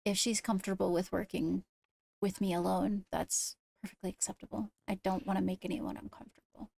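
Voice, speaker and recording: A woman improvises in-character dialogue for a roleplay game. Her voice sits at a middle pitch with a noticeable fry, and she words things carefully and diplomatically. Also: little pitch movement, a little fast, darkish tone. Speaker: roleplayer playing a court leader.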